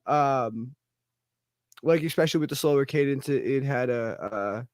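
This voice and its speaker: A man's voice: mid-range voice, moderate pace, no echo, clean studio sound. A man hosting a rap cypher, giving rambling, profane feedback full of fillers and restarts. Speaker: cypher host. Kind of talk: casual chat.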